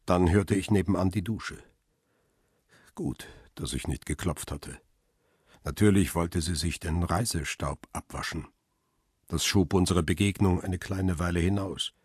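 An actor playing a brooding, middle-aged paparazzo who narrates his own story in a measured, intimate way in a radio drama. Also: sits low, darkish tone, breathy edge, no room sound, clean studio sound. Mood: hesitant, intimate. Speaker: narrator and lead character. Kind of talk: radio drama, literary first-person narration.

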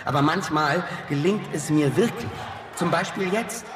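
A man's voice: fairly high pitch, lively intonation, moderate pace, background noise, natural voice. An actor playing a self-regarding, scornful man in a satirical radio play, speaking in emphatic, mocking rhetorical phrases. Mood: self-satisfied.

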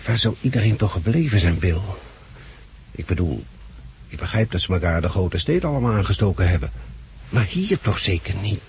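A man's voice: low voice, expressive, brisk, darkish tone. A man playing a wary, questioning survivor of a catastrophe in a 1960s radio drama. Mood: puzzled. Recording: hissy 1960s radio recording.

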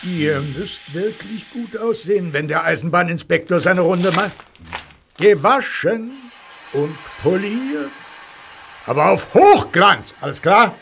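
Pompous fat railway controller, bossy, high n bright, bouncy delivery, kids' audio play. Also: male voice, lively intonation, takes it slowly, creaky, slight background noise, exaggerated voice. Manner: bossy, blustering, ordering others about.

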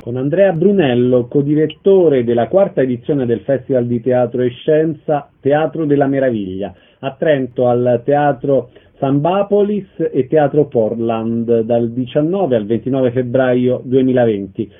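A man's voice: medium pitch, measured pace, hardly any pauses, faint music behind. A man hosting a radio arts programme, interviewing guests and announcing shows. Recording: thin, narrow-band radio sound.

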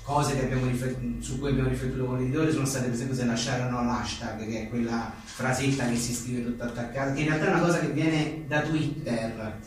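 A man's voice: medium pitch, fairly even intonation, quick pace, hardly any pauses. A man being interviewed as a writer, talking casually in a rambling, self-deprecating and joking way. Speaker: journalist and author being interviewed. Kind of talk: casual live interview about a book. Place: echoey indoor venue at a live book talk. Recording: very echoey room, distant mic, faint noise.

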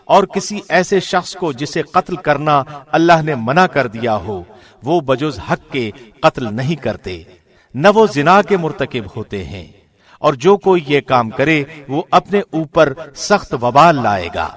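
A man reading a scripture translation from a script in a formal, solemn, measured manner. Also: fairly high pitch, sing-song. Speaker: translation reader, radio host.

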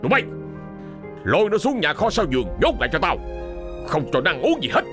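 A man's voice: mid-range voice, huge pitch swings, normal speed, music in the background. A man voicing a furious, cruel rural landlord and father in an audio story, barking threats. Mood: cold, menacing. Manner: roaring, threatening, barked commands.

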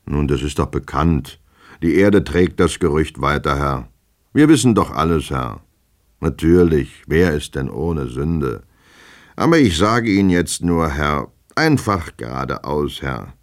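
Guy doing a servile servant in a storybook, really low voice, plodding, knowing, very deferential. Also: lively intonation, a little slow, heavily acted. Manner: deferential, repeating "sir", plodding. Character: servile male servant, knowing and long-winded. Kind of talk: dramatic audiobook character reading.

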